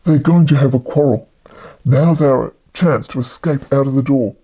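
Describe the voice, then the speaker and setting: A woman voicing a calm, commanding male magician in a storybook, speaking in a deliberate, reassuring way. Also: a bass voice, a little hiss or hum, voice pushed down. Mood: urgent.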